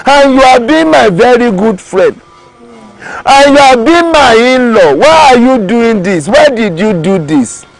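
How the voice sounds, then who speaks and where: A wronged snail farmer with a Nigerian English accent cries out and demands answers, as a male storyteller puts him on. The voice sits high and swoops widely. Delivery is slow and drawn out. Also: animated, creaky, faint music behind, heavily acted, poor audio. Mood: indignant. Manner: exclaiming, questioning.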